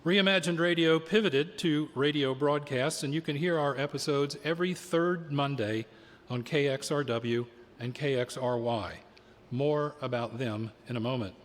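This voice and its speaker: A man hosting a live radio drama from a stage, announcing in a formal, measured way with deliberate phrasing. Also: mid-range voice. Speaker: producer and host. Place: on stage in a theater with long reverb. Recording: reverberant theater hall.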